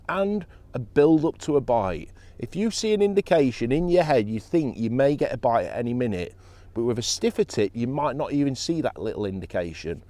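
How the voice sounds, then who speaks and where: Man, 40s, outdoor fishing tutor; lively, swinging delivery, mellow tone, dry close sound. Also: mid-range voice, no room sound. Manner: animated, emphatic explainer. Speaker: professional angler. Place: outdoors by a lake.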